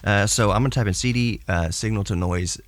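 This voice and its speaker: Male podcast host, lowish voice, rambling hobbyist explainer, very dry close sound on cassette tape. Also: fairly quick, no echo. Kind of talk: casual technical monologue. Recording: recorded to cassette with tape hiss.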